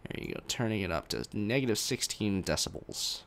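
A man's voice: on the low side, big pitch swings, a little fast, vocal fry, a bit bright, a little hiss or hum. A young man casually answering viewer questions about his game mod on a live stream, reading chat questions aloud and rambling a little. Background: faint game music.

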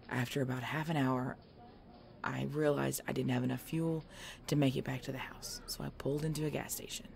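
A woman playing a tough, weary, injured biker girlfriend in an ASMR roleplay audio drama, speaking haltingly and protective of her boyfriend. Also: extremely low pitch, vocal fry, a little mellow. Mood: tense recounting.

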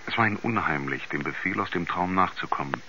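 A man acting a sober narrator and confidant in a 1970s radio drama, in measured, articulate storytelling. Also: deep voice, bright, forceful tone, faint music behind, full character voice. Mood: sober, grave. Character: sober male narrator, astronaut's concerned confidant. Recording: narrow-band 1970s radio play recording.

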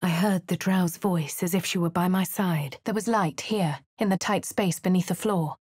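An actress voicing a posh young woman adventurer in a video game, with a husky, refined delivery. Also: fairly low pitch, steady tone, moderate pace, darkish tone, no echo. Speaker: player character. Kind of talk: video game narration and dialogue. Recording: dry studio booth.

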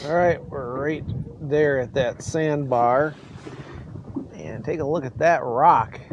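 A man in his forties hosting a casual fishing vlog from a boat on a lake, chatting excitedly to the camera. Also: a little high. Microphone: action camera on the boat. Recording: wind and water noise. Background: wind and water noise.

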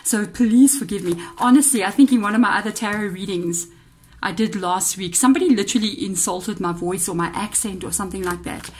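A woman's voice: medium pitch, brisk, a little mellow. Chatty South African woman reading tarot. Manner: chatty, musing aloud, addresses viewers directly. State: voice a bit off, slightly unwell-sounding. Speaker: tarot reader. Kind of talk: casual tarot reading to camera. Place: indoors at a tabletop altar.

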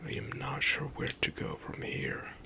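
A young man whispering relaxed commentary as he plays through a game for an ASMR stream, often trailing off mid-thought. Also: a little slow.

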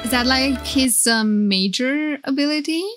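High-voiced woman gushing playfully over music. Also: a little slow, fairly bright tone. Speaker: streamer reacting to videos. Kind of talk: casual excited reaction commentary. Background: game trailer music.

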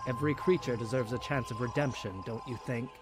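A man performs a blind priestess in a dramatic comic dub. The speech is composed, pious and formal, told gravely and with measure. Loud music runs behind it. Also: medium pitch, steady tone, fast, natural voice. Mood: solemn. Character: solemn blind priestess of a religious order, composed.

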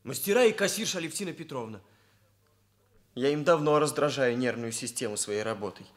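A man's voice: mid-range voice, expressive, brisk, natural voice. An actor playing an earnest young male hairdresser who talks in stilted, pompous officialese in a Soviet radio play. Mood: aggrieved, earnest. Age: young adult. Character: earnest, aggrieved young male hairdresser, stiffly bureaucratic speech. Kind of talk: Soviet radio drama acting.

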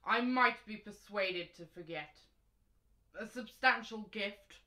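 A woman's voice: mid-range voice, slow pace, sharp tone. A woman acting a stern, pompous old priest in a radio drama, solemn and declamatory. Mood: menacing.

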